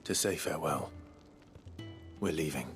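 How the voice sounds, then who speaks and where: A brooding young ex-soldier in a video game cutscene, with a British accent. His voice sits very low and he speaks with grave, measured restraint. Music plays clearly behind him. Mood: resolute. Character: brooding, haunted young male ex-soldier, soft-spoken and earnest.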